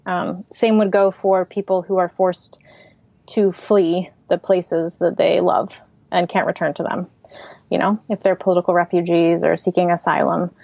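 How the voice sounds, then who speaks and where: A woman's voice: lowish voice, little pitch movement, moderate pace, gravelly, darkish tone, dry recording. Woman, podcast guest, grew up speaking Swedish at home, thoughtful, filler-heavy, dry, slightly dull sound. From Pacific Northwest, United States. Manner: reflective, thoughtful, with frequent fillers. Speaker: podcast guest, writer and artist. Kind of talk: casual interview conversation.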